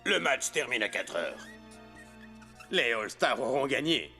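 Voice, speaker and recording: Scheming villain boss, commanding, menacing, sharp voice, animated delivery, cartoon dub. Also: male voice, medium pitch, unhurried, soft background music, exaggerated voice. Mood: gloating, commanding. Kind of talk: French cartoon dub acting.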